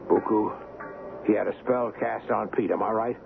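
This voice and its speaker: An actor playing an earnest, concerned doctor in a 1970s radio drama, speaking in an urgent, questioning manner. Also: male voice, fairly low pitch, steady tone, moderate pace, creaky. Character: earnest, concerned male ship's doctor who narrates. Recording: very noisy, phone-like old radio broadcast.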